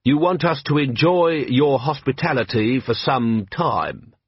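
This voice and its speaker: A man's voice: mid-range voice, lively intonation, a little slow, breathy edge, no room sound, dull audio, pitched above the performer's own voice. A man voicing a weary, sarcastic middle-aged police commander with a dry, sardonic delivery.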